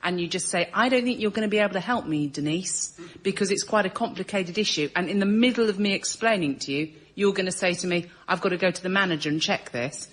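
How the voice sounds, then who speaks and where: A woman's voice: fairly low pitch, fast, few pauses, fairly bright tone, poor audio. A woman complaining comically as a panel show guest in a conversational, wry, exasperated way.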